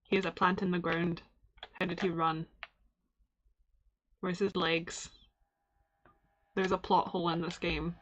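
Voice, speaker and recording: A woman's voice: fairly low pitch, level tone, measured pace, long pauses, slight background noise, poor audio. A young Scottish woman from Glasgow streaming a game, chatting in a rambling way, laughing often and reading the on-screen text aloud. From Glasgow, Scotland.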